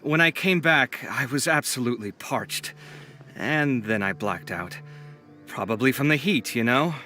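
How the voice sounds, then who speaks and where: A man's voice: medium pitch, animated, a little slow. An actor voicing an earnest, easily flustered young male architect. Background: soft game music.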